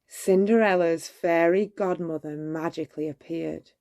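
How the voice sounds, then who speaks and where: A woman narrating a children's bedtime story in a measured, expressive storytelling manner. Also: lowish voice. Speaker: storybook reader.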